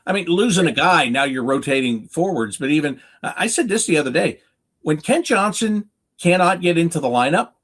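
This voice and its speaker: A man's voice: fairly high pitch, expressive, a little fast, a bit bright. A North American man giving unscripted sports analysis as a guest, emphatic, often posing questions and answering them himself. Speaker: hockey play-by-play announcer as guest analyst.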